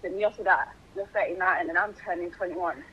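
A woman's voice: lowish voice, fast talker, breathy edge. A young woman on a video call, chatting casually and fumbling over numbers. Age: young adult. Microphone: phone video call. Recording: dull phone video call audio.

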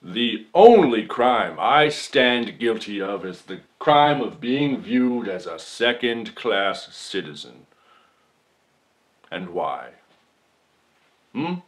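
A man performs a folksy male defendant addressing a jury in a comic monologue. His delivery is mock-solemn and shameless, drawn out with long dramatic pauses. The slightly deep voice swings widely in pitch as he pleads his case. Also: lively intonation. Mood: indignant, accusing. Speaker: podcast performer. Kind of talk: theatrical comedic courtroom monologue.

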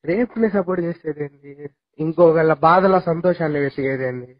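A man's voice: a little high, normal speed. A young man talking casually on a recorded phone call, giving insistent advice. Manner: insistent, advising.

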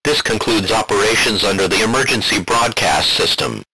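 A man's voice: on the low side, lively intonation, normal speed, sharp tone, breathy edge, low-quality recording. A man reading a scripted emergency alert as a broadcast announcer, formal and impersonal.

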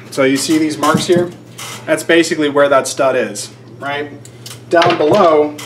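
Higher-voiced American man, wry and rambling. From United States, Georgia. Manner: rambling, wry self-deprecating asides. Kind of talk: casual instructional vlog, explaining to camera. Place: indoors in a shop workroom under renovation. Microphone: camera microphone at about arm's length. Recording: faint background noise.

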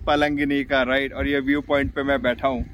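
A man's voice: medium pitch, flat delivery, fairly quick, fairly bright tone, a little hiss or hum. A man with an Indian accent chatting casually and enthusiastically as a travel vlogger outdoors, switching between two languages in an informal way. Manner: mixes Hindi and English, informal and enthusiastic. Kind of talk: casual travel vlog chat to camera. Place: outdoors at a hillside viewpoint. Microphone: phone camera.

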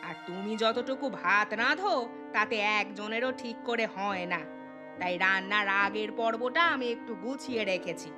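A woman's voice: medium pitch, moderate pace, music in the background, lightly acted. A woman voicing a gluttonous, self-pitying elderly mother-in-law in a cartoon story, with a demanding, whining manner. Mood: aggrieved.

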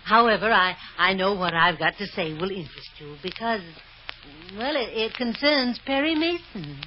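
An actress playing a scheming, domineering wife in an old-time radio drama, imperious one moment and coy the next. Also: female voice, medium pitch, lively intonation, normal speed, lightly acted. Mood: coy, conniving. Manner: imperious, snapping, sweetly coy on the telephone. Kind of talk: 1950s radio drama acting. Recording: dull, noisy old radio recording.

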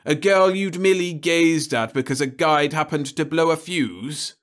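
Guy putting on a loud blustery boss man, thundering and indignant, pitched way up. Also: normal speed, natural voice. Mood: blustering. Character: blustering older male bureaucrat chief.

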